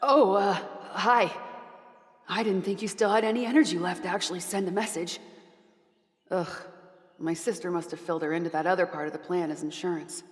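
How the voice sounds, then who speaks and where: Woman playing a low-voiced commanding anime heroine in reverb. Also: fairly quick, lightly acted. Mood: surprised, annoyed. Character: commanding, sharp-minded female anime squad leader. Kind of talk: anime audio drama acting. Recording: long reverb, music behind.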